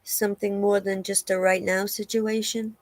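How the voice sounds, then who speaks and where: A woman reads the part of a sympathetic investigator in a police interview. Her delivery is low-key and plain, at a middling pitch, recorded close with no room sound. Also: little pitch movement, normal speed, dry recording, natural voice. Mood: sympathetic, matter-of-fact. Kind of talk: dramatic reading of an interview transcript.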